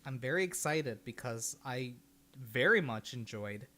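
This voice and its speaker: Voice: medium pitch, lively intonation, unhurried, pushed and bright. A livestream host chatting casually and rambling from thought to thought, with an easy habit of laughing and swearing.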